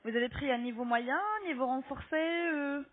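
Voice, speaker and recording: A woman making a phone sales pitch as an appointment-booking agent, polite but insistent, often ending on a checking question. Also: moderate pace. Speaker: telemarketing agent booking health insurance appointments. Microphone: telephone. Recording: lo-fi muffled phone call.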